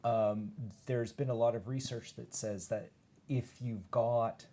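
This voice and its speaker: A man's voice: mid-range voice, fairly even intonation, slow pace, warm tone, slight background noise. A man answering as an expert panel guest, explaining carefully and rephrasing as he goes.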